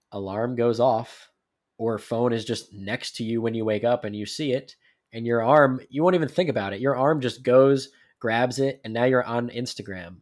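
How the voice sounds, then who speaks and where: A man co-hosting a casual podcast, congested, talking in an animated, joking way. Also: fairly low pitch. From New Jersey. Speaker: podcast co-host.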